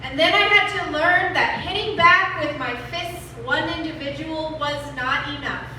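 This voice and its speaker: A woman playing a determined veteran civil rights organizer, delivering a rally speech with deliberate, emphatic pauses. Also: sits high, bright, forceful tone. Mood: earnest, resolute. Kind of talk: dramatic reading of a rally speech. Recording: distant, very echoey room, faint noise.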